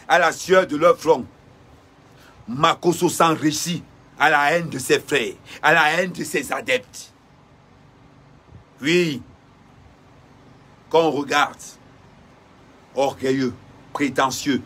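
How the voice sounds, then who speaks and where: A man giving an impassioned denunciation in a repetitive, preacher-like cadence with shouted bursts. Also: fairly high pitch, slightly rough.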